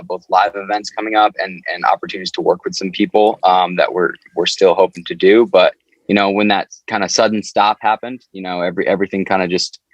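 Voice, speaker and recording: Low-voiced man chatting, slightly dull recording. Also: no room sound. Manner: informal, loose storytelling. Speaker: band member being interviewed. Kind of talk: casual chat.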